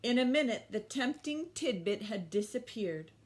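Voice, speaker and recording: A woman's voice: on the high side, expressive, normal speed. A woman hosting storytime for young children, talking to them in simple questions and short phrases and reading aloud expressively. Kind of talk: children's storytime, talk and picture-book read-aloud.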